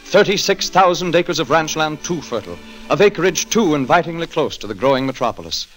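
A man's voice: fairly high pitch, very animated, fairly quick, fairly bright tone, faint music behind. A man reading scripted narration for a 1940s radio drama in a dramatic, declamatory manner.

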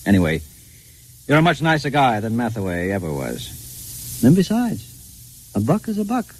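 Lively, pragmatic reassuring pal. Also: male voice, mid-range voice, moderate pace, noisy background. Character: pragmatic, reassuring male friend of a troubled painter. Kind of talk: 1950s radio drama acting.

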